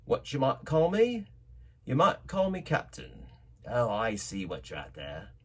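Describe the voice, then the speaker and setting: A man voicing a gruff, commanding old pirate sea captain in a storybook reading, with rough, coarse sailor talk. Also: mid-range voice, huge pitch swings, measured pace, a bit bright, full character voice. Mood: gruff, bossy. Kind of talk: storybook character voice in audiobook narration.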